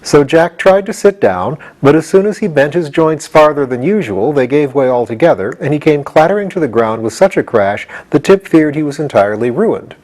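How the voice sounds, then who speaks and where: A man's voice: a little high, expressive, moderate pace, hardly any pauses, a little mellow, rough recording. A man narrating a children's storybook as a scripted audiobook reading. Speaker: audiobook reader.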